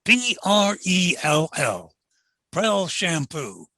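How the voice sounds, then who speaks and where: Bright, high-pitched male radio announcer. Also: big pitch swings, very slow, no room sound, clean studio sound. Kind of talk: 1940s network radio sign-off, scripted.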